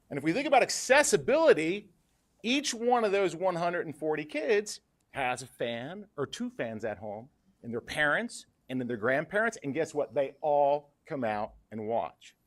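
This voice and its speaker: A man in his fifties, a parent from Western New York, argues his point at a school board meeting. He talks loudly with a lively, swinging pitch that sits a little high. He stands back from the podium mic. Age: 50s. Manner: loud, animated, numbers-driven speech. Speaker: parent speaking at public comment. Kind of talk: public comment at a board meeting. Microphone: podium mic, stepped back from it.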